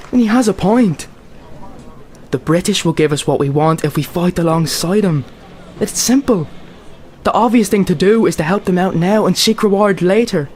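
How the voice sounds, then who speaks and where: A man's voice: on the high side, natural voice. A man with an Irish accent acting an earnest, plain-spoken Irish soldier in a radio play, in narration and dialogue. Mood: hopeful, earnest. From Ireland. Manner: reflective first-person storytelling. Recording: faint noise and background ambience.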